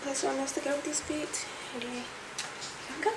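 A woman's voice: high-pitched, a little fast. Young woman vlogging in an echoey dorm. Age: young adult. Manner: relaxed, slangy, talks to the camera. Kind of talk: casual vlog chat. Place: small dorm room and bathroom. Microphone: camera set a few feet away. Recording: roomy, distant, noisy home recording.

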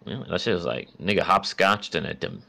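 A man with a very low, creaky voice talks casually among fellow readers. His speech is profane and loose, slow in pace but lively in pitch. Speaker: amateur voice actor and reader. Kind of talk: casual, profane chat over a comic readthrough.

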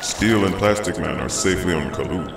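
A man acting a wise alien telepath in a superhero motion comic. His voice is very low, formal and expository, echoing as if from a distance, under loud music. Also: a little fast, gravelly. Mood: calm, informative. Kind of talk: dramatic comic-book voice acting.

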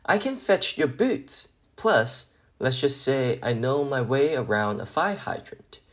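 A man voicing an eager, hopeful homeless puppy in a children's picture book, pleading and expressive. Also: mid-range voice, takes it slowly.

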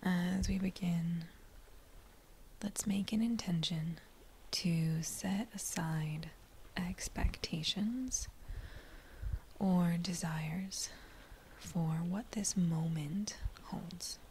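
Hushed, very slow woman guiding meditation. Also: on the low side, a little hiss or hum. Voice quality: breathy, hushed, near-whispered. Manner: gentle, unhurried, with short phrases and pauses. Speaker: meditation guide. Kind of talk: slow guided meditation narration.

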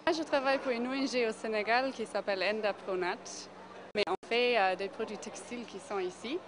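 Woman, NGO worker, matter-of-fact explaining, street interview, background noise. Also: mid-range voice. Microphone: handheld mic held by interviewer.

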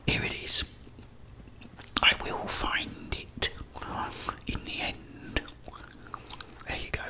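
A man's voice: slow, sharp tone. A middle-aged man with a British accent whispers rambling, apologetic ASMR commentary while playing a game. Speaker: game streamer. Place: small indoor room.

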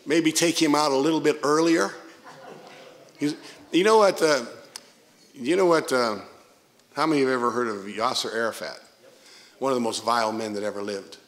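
A man's voice: medium pitch, normal speed, long pauses. An older man with a Midwestern American accent, a pastor preaching a sermon in a church sanctuary on a pulpit microphone, telling stories with emphatic asides.

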